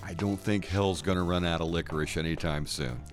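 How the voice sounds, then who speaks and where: A man's voice: low voice, brisk, faint music behind, natural voice. A man playing a casual, teasing trickster who guides a friend through hell in a comedic radio play. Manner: breezy, teasing.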